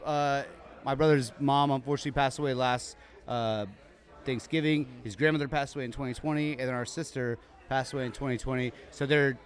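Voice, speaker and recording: A man's voice: mid-range voice, steady tone. A man co-hosting a podcast recorded live at a bar, talking in a casual, rambling way with many restarts. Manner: rambling, halting restarts, upbeat. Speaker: podcast co-host. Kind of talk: casual chat.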